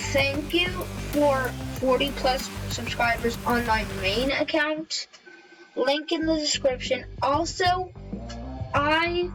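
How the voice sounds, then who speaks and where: A woman speaking informally to viewers as a video creator, earnest and halting, often repeating her words. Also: high-pitched, low-quality recording. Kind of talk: informal thank-you address to viewers.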